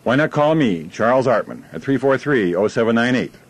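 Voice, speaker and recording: A man's voice: medium pitch, expressive, brisk. Man reading his own radio advertisement. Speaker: insurance agent advertiser. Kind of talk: scripted radio advertisement read.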